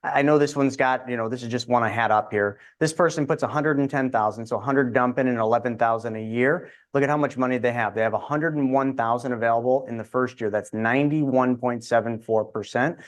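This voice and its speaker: A man speaking as a guest in a casual interview, explaining in a confident, quick way with restarts. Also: mid-range voice. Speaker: insurance advisor guest.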